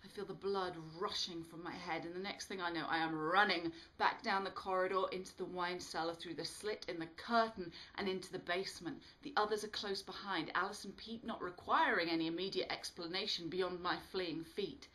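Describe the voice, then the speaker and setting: A woman with a slightly low-pitched voice performs as an author reading her own work. Her storytelling is expressive and dramatic, shaped for listeners following a narrative. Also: a little fast, few pauses, low-quality recording. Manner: animated, dramatic delivery. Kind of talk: expressive storytelling read-aloud.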